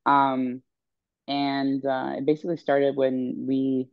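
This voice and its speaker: A woman's voice: a bass voice, completely flat delivery, slow pace, vocal fry, no room sound, muffled recording. A woman in her twenties from Sweden, a coach speaking as a podcast guest in a candid, chatty way with many ums. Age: 20s. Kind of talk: casual interview chat.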